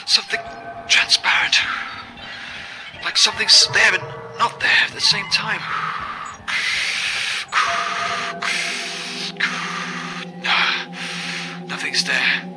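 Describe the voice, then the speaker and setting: Man acting a slow-talking, jittery British astronaut. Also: sits high, exaggerated voice. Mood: startled. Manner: talks to himself, halting, muttering. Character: lonely, jittery British male astronaut stranded on moon. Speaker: voice actor. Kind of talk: internet radio drama monologue.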